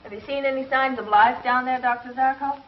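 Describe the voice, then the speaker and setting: A woman's voice: sits high, fairly even intonation, a little fast, natural voice. An actress playing an earnest young female scientific assistant in a 1950s television serial. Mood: alarmed, earnest. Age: young adult. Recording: muffled 1950s TV soundtrack, faint noise.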